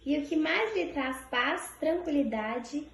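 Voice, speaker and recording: The high-pitched Brazilian woman you would hear reading out questions for a homemade interview in a bare, echoing room. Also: expressive, slow pace. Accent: Brazilian Portuguese. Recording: echoey room, faint background noise.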